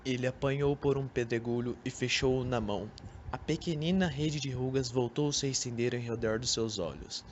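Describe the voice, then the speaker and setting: A man's voice: medium pitch, moderate pace, darkish tone, highs cut off. A young man reading scripted literary narration for an amateur radio drama. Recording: noisy amateur recording.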